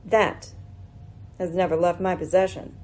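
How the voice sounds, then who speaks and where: A woman acting a shrewd, commanding lady detective in a radio mystery, speaking in a measured, formal, theatrical way. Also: fairly low pitch, level tone, darkish tone, lightly acted. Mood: triumphant, stern.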